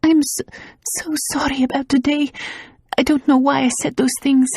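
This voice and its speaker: A woman's voice: high voice, level tone, normal speed, a little mellow, no echo, clean studio sound, pitched above the performer's own voice. A woman voicing a frightened young woman just woken from a nightmare, breathless and pleading through tears. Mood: tearful.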